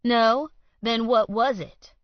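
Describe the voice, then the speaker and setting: A woman voicing a smug, spiteful man who needles his rival in loud, quick taunts. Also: on the low side, lively intonation, no echo, lightly acted. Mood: taunting. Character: sneering jealous male councilman, smug and spiteful. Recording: dull lo-fi dry read.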